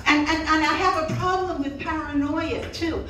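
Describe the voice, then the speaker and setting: An older woman performing a bawdy, self-deprecating stand-up routine, with punchy delivery and drawn-out pauses. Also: sits high, fairly even intonation, sharp tone, faint music behind. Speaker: stand-up comedian. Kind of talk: live stand-up comedy routine. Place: small cat cafe room with audience, indoors. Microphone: handheld microphone, recorded by camera from audience. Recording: roomy, echoey, distant mic, some room noise.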